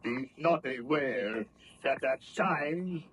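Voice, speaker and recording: An actor playing a stern, formal official in an old-time radio horror play, with a measured, stagey delivery. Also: male voice, mid-range voice, lively intonation, muffled recording. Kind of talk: 1930s radio horror acting. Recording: noisy old-time radio drama recording.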